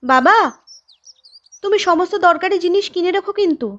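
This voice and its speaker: Earnest young village girl in a cartoon, voiced by a woman, super high voice, muffled lo-fi sound. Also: moderate pace, a bit bright. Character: young village woman running a food stall. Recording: dull lo-fi with music bed.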